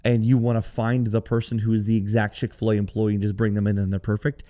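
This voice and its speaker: A man hosting a podcast alone, talking in a conversational, explanatory way, his voice hoarse and raspy from cheering. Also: on the low side, little pitch movement, fast talker, warm tone. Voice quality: gravelly, raspy. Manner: conversational, explanatory, with some restarts. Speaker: podcast host. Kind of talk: solo business podcast talk.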